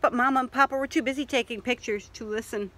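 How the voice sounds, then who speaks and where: A middle-aged woman reading a picture book aloud to children outdoors in an expressive way, pausing to ask questions. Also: on the high side. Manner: expressive, asks listeners questions. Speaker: library story reader. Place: outdoors in a leafy yard.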